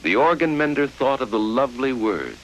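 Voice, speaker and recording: A man narrating a vintage educational film from the 1950s in a measured, formal storytelling style, on an old film soundtrack. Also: medium pitch, very animated, a bit bright, a little hiss or hum, rough recording. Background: music.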